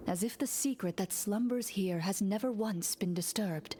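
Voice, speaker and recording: Woman voicing a calm, measured duelist. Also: mid-range voice, slight background noise, close to an everyday voice. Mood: narrating. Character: composed duelist woman narrating a tabletop game. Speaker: game character. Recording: game audio with music.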